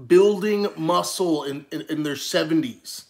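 A man's voice: a little high, measured pace, gravelly, darkish tone. Earnest young man, stammering restarts. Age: young adult. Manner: earnest, repeats words when starting. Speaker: podcast co-host. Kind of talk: casual chat.

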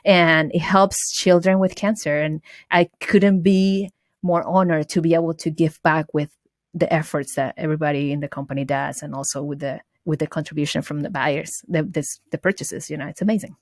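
A woman from Venezuela speaks with a Venezuelan accent and a low, deep voice. She talks earnestly about running her small business and often restarts her words mid-thought. The sound is dry and close, with no room echo. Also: fairly even intonation, moderate pace. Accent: Venezuelan Spanish. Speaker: guest, small business owner. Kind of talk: casual interview chat.

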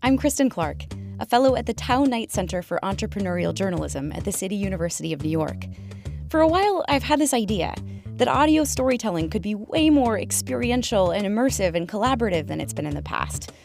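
A woman hosting a podcast, reading a scripted narration in a clear, measured storytelling manner. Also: mid-range voice, very animated, darkish tone, soft background music. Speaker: podcast host.

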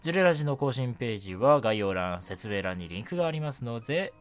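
A man hosting a casual, chatty night radio show in a relaxed, gently self-deprecating way. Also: medium pitch, fast talker, soft background music.

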